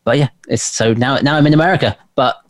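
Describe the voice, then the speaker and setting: A man's voice: mid-range voice, quick pace, no room sound, studio quality. A man from London with an English Received Pronunciation accent, chatting anecdotally as an interview guest in his home office. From London, England. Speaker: interview guest, performance coach and performer. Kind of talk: conversational interview answers.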